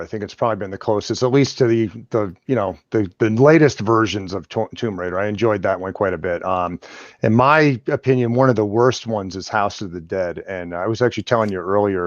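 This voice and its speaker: A man's voice: fairly low pitch, no echo. Chatty man on a muffled video call. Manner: chatty, rambling with restarts. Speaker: guest. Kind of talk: casual chat on a live stream.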